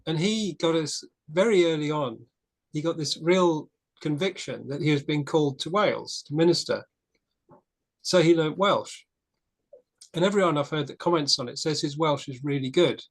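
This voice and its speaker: Frank man with a slightly high voice. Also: normal speed. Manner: frank and opinionated, storytelling with restarts. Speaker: discussion group participant. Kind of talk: casual group discussion.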